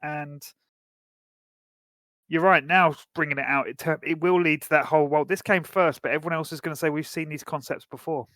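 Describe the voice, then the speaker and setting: Bright, higher-pitched man, dry sound. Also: fairly even intonation, brisk, no echo. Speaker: podcast co-host. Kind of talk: casual film discussion.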